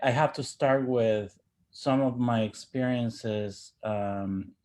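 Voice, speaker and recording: A man who grew up in Ecuador speaks as an interview guest, with Spanish as his first language. He reflects on personal experience in a halting, thoughtful manner, often restarting his words at a middling pitch. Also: a little mellow. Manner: halting, thoughtful, with repeated word starts.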